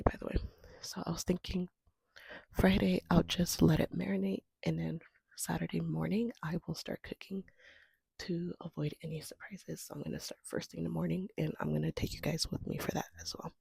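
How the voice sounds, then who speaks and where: A woman with a cold, hoarse and sniffling, chatting casually in a soft, half-whispered way as she vlogs from her home. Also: on the low side, dry recording. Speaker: homestead vlogger. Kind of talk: casual vlog chat. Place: at home, indoors.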